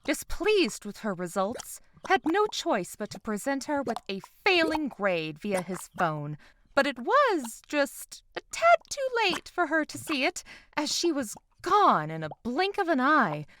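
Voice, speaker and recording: A woman's voice: high voice, huge pitch swings, fairly bright tone, slight background noise. A woman giving a scripted, dramatic story narration for a comic dub. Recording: faint bubbling mud ambience behind voice. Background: gooey quicksand sound effects.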